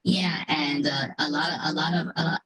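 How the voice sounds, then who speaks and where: A man with a robotic voice effect asking halting, wordy questions as a sports interviewer. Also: on the high side, fairly even intonation, very noisy. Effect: robotic voice modulation. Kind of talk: conversational interview.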